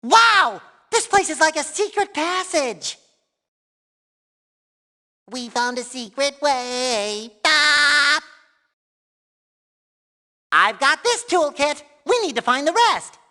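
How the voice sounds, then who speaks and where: A very high, bright and nasal cartoon sea sponge, bubbling with childlike cheer. The delivery is squeaky and exuberant, swinging widely in pitch with playful earnestness, as lines for a video game. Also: male voice, heavily acted. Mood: excited.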